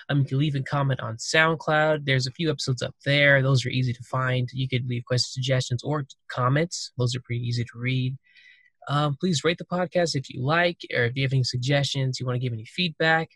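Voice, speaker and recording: A man's voice: mid-range voice, fairly bright tone, no echo. A man hosting a casual conversational podcast, talking loosely with plenty of fillers and restarts. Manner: loose, rambling, many fillers. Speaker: podcast host.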